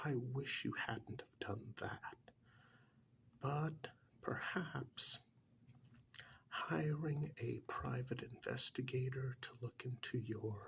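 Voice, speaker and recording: A man playing a calm, probing couples therapist in a soft-spoken ASMR role-play, measured and deliberate with a breathy voice, close to the microphone. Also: mid-range voice, full character voice. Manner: measured, deliberate, pausing between short phrases.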